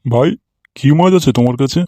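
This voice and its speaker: A man's voice: mid-range voice, brisk, warm tone, no echo, clean studio sound, close to an everyday voice. A man playing a crafty, foul-mouthed older village uncle in a comedy cartoon. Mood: pleased, curious. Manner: colloquial, wheedling, swearing.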